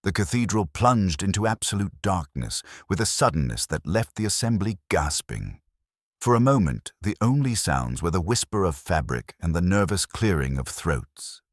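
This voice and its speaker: A man reading a scripted mystery story as an audiobook narrator, in a measured, literary and dramatic storytelling manner. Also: low voice, sing-song, dry recording, clean studio sound.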